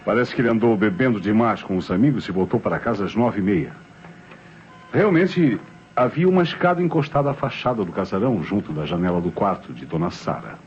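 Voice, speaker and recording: A Brazilian actor playing a methodical, suspicious police inspector in a radio drama, speaking formally and deliberately. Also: male voice, lowish voice, expressive, darkish tone, close to an everyday voice. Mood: grave, measured. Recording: dull old radio recording, music behind.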